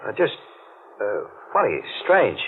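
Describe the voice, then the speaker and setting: A noisy, phone-thin old radio recording carries a brooding American deep-sea diver. His voice sits low, and he talks in halting, tense, tough-guy slang. It is haunted 1940s radio drama. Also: wildly swooping pitch, narrow, tinny audio, heavily acted. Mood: uneasy, halting.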